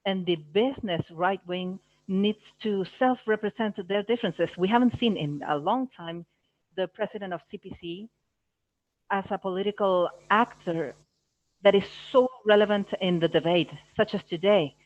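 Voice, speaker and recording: Dull dry audio, halting woman interpreter. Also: medium pitch, dry recording. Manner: halting, phrase by phrase, self-correcting. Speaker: live interpreter voicing panelists. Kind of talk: live simultaneous interpretation of a panel discussion.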